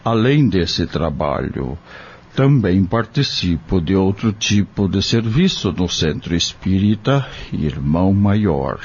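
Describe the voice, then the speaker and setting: A man playing a kind, devout country doctor in an audio drama, speaking solemnly and gently, like a fatherly preacher. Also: deep voice, very animated, slow, breathy edge, dull audio, heavily acted.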